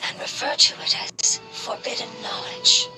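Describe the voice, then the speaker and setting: A woman voicing an ancient, gentle fungus creature in a video game, with a breathy, strange voice and a formal, measured way of explaining. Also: whispered, far from the mic, lightly acted. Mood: grave, earnest. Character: ancient gentle talking fungus creature, survivor of a lost homeland. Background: loud game music.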